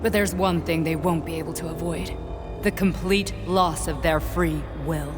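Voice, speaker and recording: A woman's voice: deep voice, steady tone, moderate pace, lightly acted. A woman acting an intense, defiant scientist in a video game, speaking with grave, persuasive emphasis. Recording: long hall reverb, music underneath.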